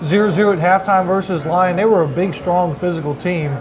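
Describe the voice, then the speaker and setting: A man's voice: high voice, steady tone, a little fast. A man hosting a live sports interview show, asking a guest questions in a busy eatery. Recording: crowd chatter behind the voice. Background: crowd chatter.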